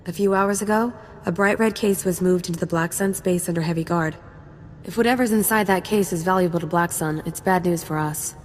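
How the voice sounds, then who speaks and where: Low, wry female soldier played by actress, echoing. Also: fairly quick, slight background noise, close to an everyday voice. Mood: breezy briefing. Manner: casual, wry, playful. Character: confident, wry young female special forces sergeant. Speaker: soldier giving mission briefing. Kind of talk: scripted game dialogue.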